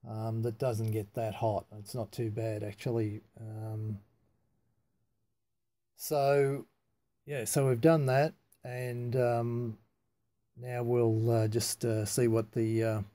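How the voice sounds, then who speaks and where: A man talking casually and haltingly through a hands-on technical demonstration, unscripted and matter-of-fact. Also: medium pitch, flat delivery, warm tone. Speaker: amateur radio hobbyist demonstrating antenna tuning.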